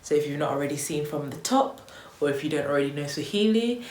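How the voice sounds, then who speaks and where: Chatty young woman, low voice, echoey room. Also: lively intonation, quick pace, a little mellow. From Tanzania. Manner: chatty and upbeat, loose with fillers like "um" and "you know". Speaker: vlogger. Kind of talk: casual vlog chat to camera. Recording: echoey room with faint noise.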